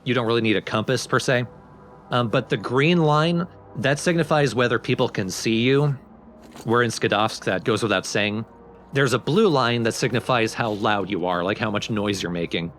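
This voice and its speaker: A man's voice: mid-range voice, normal speed, a bit bright. A man hosting a let's play, explaining the game in casual commentary. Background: video game music.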